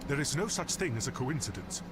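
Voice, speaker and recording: An actor playing a cursed, anxious would-be knight in a video game, speaking with a gloomy, doom-laden delivery. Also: male voice, mid-range voice, lively intonation, fairly quick, a crowd in the background, close to an everyday voice. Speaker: game character. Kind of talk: voiced game dialogue. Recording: game audio with clear background noise.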